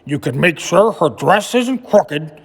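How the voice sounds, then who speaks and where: A kindly male teacher from a picture book, voiced by a male reader, calm and reassuring. He speaks at an unhurried pace. A long reverb follows each phrase. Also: fairly high pitch, breathy edge, exaggerated voice. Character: kind male schoolteacher, gentle grown-up.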